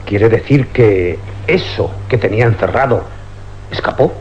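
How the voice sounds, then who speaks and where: Actor as a low-voiced, skeptical police commissioner. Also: male voice, unhurried, natural voice. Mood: incredulous, alarmed. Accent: Spanish, from Spain. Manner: formal, probing, authoritative. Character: skeptical, impatient police commissioner questioning a witness. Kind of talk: suspense radio drama acting. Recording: dull, noisy old radio recording with hum.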